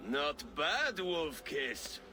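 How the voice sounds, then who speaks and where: Boastful high-voiced Viking drinker, bright and lively. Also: male voice, drawn-out words, heavily acted. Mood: teasing challenge. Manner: boastful, theatrical, teasing. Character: boastful, jovial Viking drinker, hearty and teasing. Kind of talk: video game character acting. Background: ambient noise.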